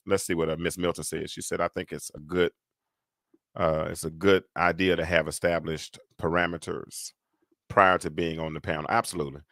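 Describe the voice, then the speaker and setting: A man hosts a casual live show, his voice very low and heavy. He rambles and restarts, leaning on fillers as he thinks aloud. The sound is close and dry, with no room around it. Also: no room sound. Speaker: host. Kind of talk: casual live-stream talk.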